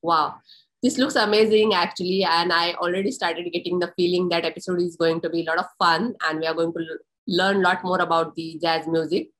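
A woman host introducing her guest from a script in measured phrases, then asking interview questions. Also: lowish voice, steady tone, a bit bright, low-quality recording. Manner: reads intro in measured phrases, slows on lists. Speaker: podcast host.

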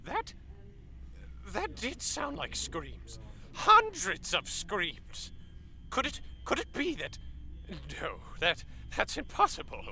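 An actress playing a professor who talks in a gentle, musing way with self-correcting pauses. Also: female voice, a little high, huge pitch swings, slight background noise, full character voice. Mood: uneasy. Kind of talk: radio drama acting.

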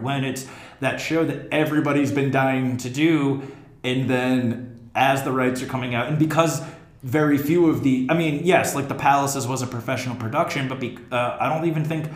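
An American man co-hosting a casual podcast chat about theatre. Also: medium pitch. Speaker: podcast co-host; theatre teacher. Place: echoey indoor room. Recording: roomy, echoing podcast room.

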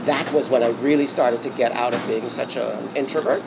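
A Bahamian man giving an interview, speaking reflectively with many pauses and restarts. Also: mid-range voice, creaky. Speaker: interview guest. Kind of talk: casual interview talk. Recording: steady background noise.

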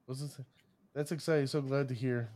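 A man's voice: medium pitch, steady tone, fairly quick, darkish tone, no room sound. Hype-driven host man, dry close sound. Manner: showman flourishes, stumbles over names. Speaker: podcast host. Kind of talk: hype-filled casual show talk.

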